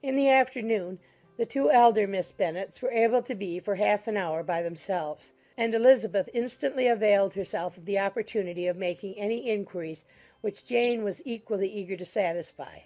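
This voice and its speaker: Mellow-voiced woman narrating a classic novel. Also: mid-range voice, fairly quick. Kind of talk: scripted literary audiobook narration.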